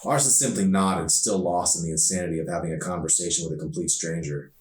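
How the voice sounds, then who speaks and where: A man's voice: low-pitched, fast. A man reading his own novel aloud as scripted audiobook narration. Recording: roomy echo, distant microphone.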